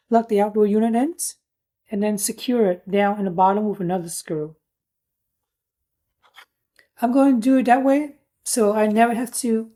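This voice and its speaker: A woman's voice: medium pitch, dark tone. A woman giving a casual hands-on product review, explaining things in an informal, plain-spoken way.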